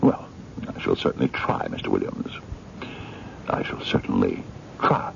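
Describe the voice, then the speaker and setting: A man's voice: extremely low pitch, wildly swooping pitch, normal speed, gravelly, heavily acted. An actor in a radio mystery drama playing an eccentric, courtly man of mystery, formal, hesitant and coaxing. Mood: gracious. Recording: dull, noisy 1970s radio drama recording.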